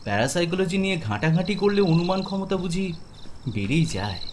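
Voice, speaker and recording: A man's voice: high-pitched, big pitch swings, brisk, background noise. A man narrating a scripted horror audio story in a dramatic style. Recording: music bed behind.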